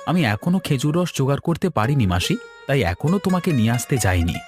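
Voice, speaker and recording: A man's voice: mid-range voice, big pitch swings, quick pace, soft background music, lightly acted. A man voicing a good-natured village father and husband in a cartoon, with a colloquial, placating manner. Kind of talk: Bengali cartoon story dubbing.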